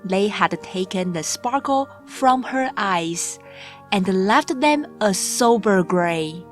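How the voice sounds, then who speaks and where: Slow-paced woman narrating a children's storybook. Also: mid-range voice, animated. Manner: measured, deliberate read-aloud delivery. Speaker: audiobook narrator. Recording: music bed under the voice. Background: background music.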